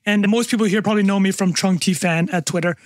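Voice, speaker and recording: A man with a Canadian accent, a podcast guest chatting casually, joking and rambling with quick asides. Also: high voice, fairly even intonation, dry recording, clean studio sound.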